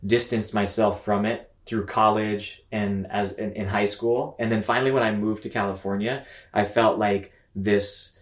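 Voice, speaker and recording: Low-voiced man in an echoey room. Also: steady tone, normal speed. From Mississippi, United States. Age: 30s. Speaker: interview guest. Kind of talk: conversational personal storytelling. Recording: roomy, echoing sound.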